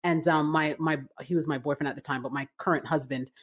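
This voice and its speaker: A woman from Canada in her forties, a business-owner guest telling her story in a relaxed interview, with lots of fillers and restarts. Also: low voice, fast, a crowd in the background. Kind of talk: casual interview conversation.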